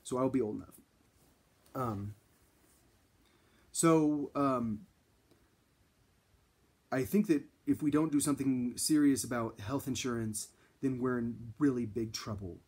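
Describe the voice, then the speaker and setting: A husky-voiced man in his thirties talks casually to a close camera in a small indoor room. He rambles, restarting sentences and filling pauses with ums. His pitch sits in an ordinary middle range. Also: a little mellow. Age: 30s. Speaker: vlogger. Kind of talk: casual direct-to-camera talk. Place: small indoor room against a plain wall.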